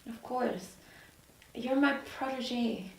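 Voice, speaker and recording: A woman's voice: fairly high pitch, slow, gravelly. A woman playing a driven, wry, assertive executive at a table read, recorded in an echoey room. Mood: confident, wry. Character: driven, sharp-tongued ambitious woman executive, indignant and wry. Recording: echoey room, distant mic.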